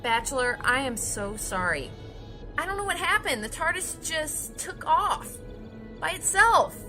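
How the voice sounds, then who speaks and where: A woman plays an earnest, eager young student tagging along on adventures in a sci-fi comedy audio drama. Her voice is high and swoops with dramatic feeling. Music plays clearly beneath it. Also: big pitch swings, unhurried. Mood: apologetic, alarmed. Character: eager, pleading young female student companion. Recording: loud music under the dialogue.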